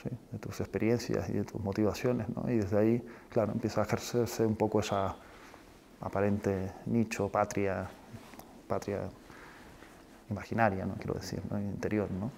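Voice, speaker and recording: A man with a fairly low voice speaks as a film director being interviewed. He is thoughtful and halting, feeling his way through each idea. He often closes a phrase with a short questioning tag. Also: flat delivery, vocal fry, darkish tone, a little hiss or hum. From Spain and Chile. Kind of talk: reflective interview talk about own work.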